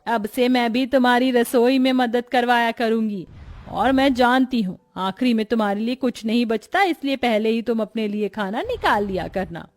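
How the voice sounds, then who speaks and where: A woman voicing a middle-aged Indian mother-in-law in a story, gentle and motherly. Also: fairly high pitch, normal speed, lightly acted. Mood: caring.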